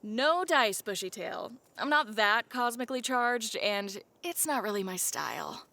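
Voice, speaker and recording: A woman's voice: fairly high pitch, lively intonation, a little slow, sharp tone, full character voice. A woman voicing a guarded young half-genie woman in an audio drama, with dry, sarcastic banter. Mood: wry. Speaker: voice actor. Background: faint background music.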